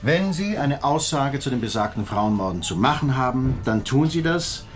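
An actor playing an impatient, sceptical police inspector who questions curtly and sarcastically in a radio drama. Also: male voice, medium pitch, expressive, moderate pace, noisy background, natural voice. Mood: impatient, condescending. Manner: curt, probing, sarcastic. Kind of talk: naturalistic German radio drama acting.

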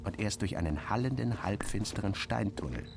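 A man narrating literary prose in the first person, wry, clipped and expressive. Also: lowish voice, a little fast, gravelly, music in the background.

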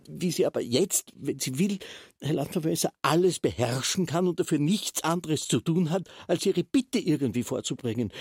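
A verbose, resigned castle official in a radio drama. His voice is extremely raspy and breathy with a gravelly creak, recorded close and dry. He ruminates in long, halting, insistent clauses. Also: a little high, a little mellow, dry recording. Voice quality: husky, breathy rasp. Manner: halting, ruminative, builds long elaborate sentences. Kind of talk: German literary radio play acting.

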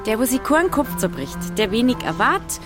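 An actress with a Bavarian accent playing a sly, good-humoured village matchmaker in a dialect radio play. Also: female voice, on the high side, big pitch swings, fairly quick, music in the background. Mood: dry, confiding.

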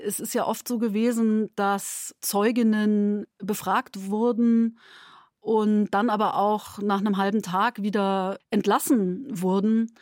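A woman's voice: mid-range voice, level tone, takes it slowly, darkish tone, no room sound. Woman explaining in an interview, dry sound. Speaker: radio drama maker interviewed about the production.

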